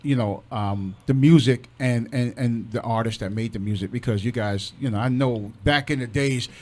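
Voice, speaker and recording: A man's voice: mid-range voice, moderate pace, a little mellow. A middle-aged man hosting a casual radio interview, speaking effusively and sentimentally with frequent words of agreement. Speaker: radio show host.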